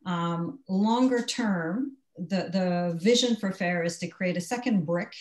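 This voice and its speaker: A woman speaking as a panelist, explaining in a measured way. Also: on the low side, darkish tone, reverberant. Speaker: panelist, nonprofit director. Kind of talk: panel discussion.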